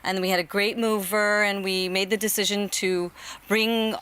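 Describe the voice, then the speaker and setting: A woman answering interview questions in a warm, earnest way that often repeats phrases, recorded outdoors in a garden. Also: medium pitch, flat delivery, moderate pace, clean tone. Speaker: interviewee, bed and breakfast owner.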